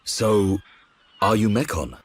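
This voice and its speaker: A stern male warrior, challenges in blunt words with a strongly varied pitch. It is video game drama with faint ambience behind. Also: animated, slow pace, slight background noise. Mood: accusing. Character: stern, aggrieved warrior hero confronting a stranger. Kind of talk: video game cutscene acting.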